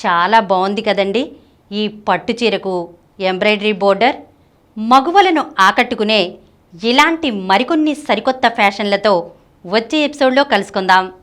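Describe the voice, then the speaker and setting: A woman's voice: mid-range voice, moderate pace, a bit bright. A woman presenting scripted narration for a women's fashion video, addressing viewers directly. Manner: addresses viewers with rhetorical questions. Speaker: channel presenter. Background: background music.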